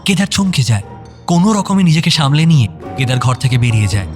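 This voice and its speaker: A man's voice: mid-range voice, big pitch swings, fast talker. A male narrator reads a scripted dramatic story. His voice sits in a middle register, and faint music plays underneath.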